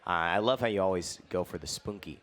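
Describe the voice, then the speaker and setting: A man's voice: deep voice, brisk, a bit bright. A man casting a live esports tournament, mixing playful banter with game analysis. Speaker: esports caster. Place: esports expo hall arena.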